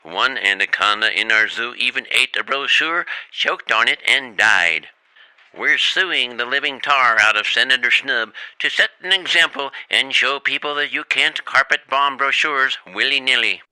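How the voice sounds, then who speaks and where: A man plays an outraged activist leader in a radio comedy. His mid-pitched voice is crisp and very forceful, declaiming each grievance in a dry studio sound. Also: normal speed, dry recording, poor audio. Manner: indignant, declamatory. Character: outraged male president of an environmental group. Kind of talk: comedy radio theater acting.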